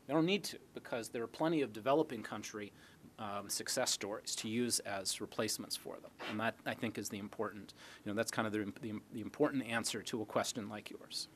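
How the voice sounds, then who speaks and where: A man's voice: on the low side, fairly quick, a bit bright. A middle-aged man answering audience questions as an academic speaker in a lecture hall, explaining through rhetorical questions. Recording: noisy lecture hall recording.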